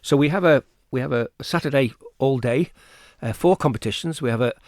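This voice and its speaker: A man's voice: mid-range voice, fairly quick, a little mellow, no echo, clean studio sound. A man speaking as an event organiser in an informal radio interview, repeating words hesitantly as he explains. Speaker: choir festival organiser, interview guest.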